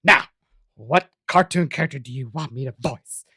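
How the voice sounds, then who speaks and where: A woman playing a vain, volatile Hollywood diva in a comic radio drama, theatrical, husky and domineering. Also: extremely low pitch, moderate pace, sharp tone, dry recording, studio quality, full character voice. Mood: coy, grand.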